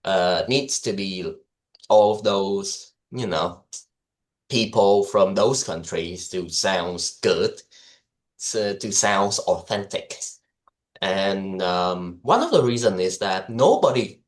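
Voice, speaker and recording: A man's voice: low-pitched, expressive, slow. A man with a Vietnamese accent, co-hosting a casual discussion, talking loosely with many fillers and small laughs.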